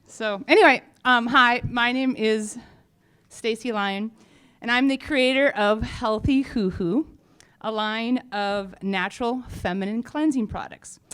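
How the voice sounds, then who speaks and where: A woman's voice: fairly high pitch, a little slow, fairly bright tone, clean tone. A woman company founder giving an informal update on stage, speaking in a frank, humorous, chatty way. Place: on stage at a live event.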